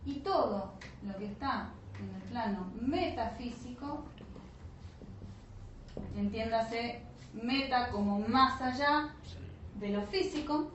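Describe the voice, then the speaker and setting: A woman with a fairly high voice gives an explanatory lecture, checking in with her students through short questions. The recording is roomy and echoey, with a slightly dull sound. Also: takes it slowly, long pauses. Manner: didactic, frequent check-in questions. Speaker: teacher of an online spiritual alchemy course. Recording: roomy echo, dull lo-fi sound, faint noise.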